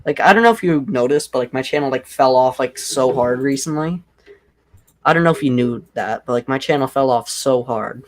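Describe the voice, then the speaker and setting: A young man with a deep voice chats loosely on his own livestream. He rambles from thought to thought and leans on "like" constantly, sounding unscripted and offhand. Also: a little fast. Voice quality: self-described deep voice. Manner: rambling, heavy use of "like". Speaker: livestream host. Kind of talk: casual livestream chat.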